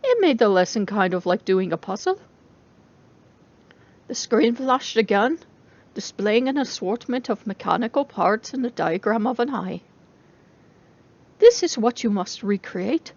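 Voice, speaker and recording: A woman's voice: mid-range voice, lively intonation, normal speed, lo-fi sound. A woman reading a novel aloud as dramatic narration. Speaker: reader of a story.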